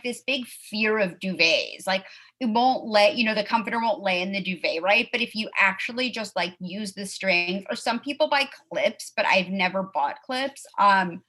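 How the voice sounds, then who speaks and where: A woman in her thirties chatting casually as host, full of "like" and quick asides. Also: medium pitch, sharp tone. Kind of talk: casual chat.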